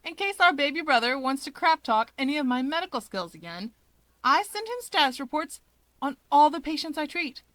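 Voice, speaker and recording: A woman's voice: sits high, big pitch swings, brisk, a bit bright, no echo, pitched above the performer's own voice. A woman voicing a cheerful, mischievous young man, a teasing younger brother in a story. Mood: smug cheer. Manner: chirpy, teasing.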